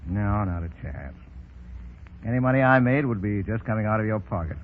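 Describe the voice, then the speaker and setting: A man's voice: on the low side, fairly quick, close to an everyday voice. An actor playing a tough, proud veteran ex-policeman with a clipped, streetwise manner in 1930s radio drama style. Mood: guarded, wry. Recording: muffled, noisy 1930s radio recording.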